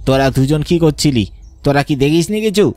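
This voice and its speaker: A bullying cartoon landowner, acted by a man, with a fairly high voice. He speaks imperiously, scolding and accusing as if everyone is lying to him. Music plays under the dialogue. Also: brisk, a little mellow, no room sound, lightly acted. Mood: angry accusing. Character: suspicious, bullying male landowner and farm master. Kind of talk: dramatised horror cartoon dialogue.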